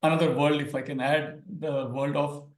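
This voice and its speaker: A man's voice: a little high, fairly even intonation, normal speed, roomy sound. A man from India with an Indian accent, a native Hindi speaker, explaining ideas as a scholar guest in an academic discussion and repeating words for emphasis.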